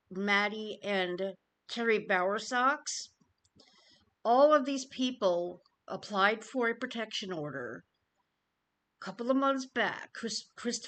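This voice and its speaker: A woman YouTube commentator giving an informal news update to viewers, phrasing things deliberately and emphatically with pauses. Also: a little high, sharp tone.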